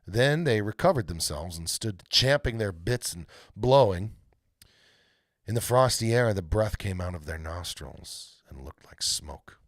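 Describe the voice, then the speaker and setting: A man reading a storybook aloud as a live host, narrating dramatically with deliberate pauses. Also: fairly low pitch, expressive, no echo, clean studio sound. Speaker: read-aloud storyteller hosting a live stream.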